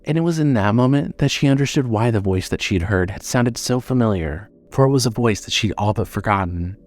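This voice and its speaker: Low-voiced man narrating horror. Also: animated, brisk. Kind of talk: scripted horror story narration.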